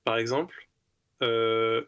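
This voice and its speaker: A man's voice: medium pitch, fairly even intonation, takes it slowly, fairly bright tone, smooth. A man co-hosting a casual podcast discussion, thinking aloud and repeating words with many fillers. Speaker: podcast co-host.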